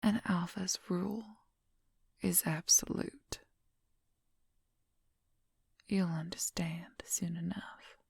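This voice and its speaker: A woman acts out a possessive young werewolf woman in an ASMR role-play. She whispers very slowly and intimately, with a husky, breathy voice that crackles with heavy fry. The sound is close and dry. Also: on the low side, fairly even intonation, darkish tone, dry recording, heavily acted. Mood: quiet, resentful.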